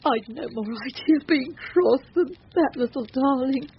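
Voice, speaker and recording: A woman's voice: sits high, moderate pace. A woman playing a flustered, remorseful working-class Victorian wife and mother, tearful and fretful, in an old-time radio drama. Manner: tearful, fretful, sighing exclamations. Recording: muffled, hissy old radio drama recording.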